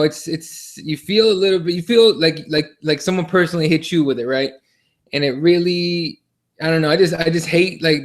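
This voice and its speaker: Male podcast co-host, higher-pitched voice, casual sports chat, unpolished and repetitive delivery with swearing.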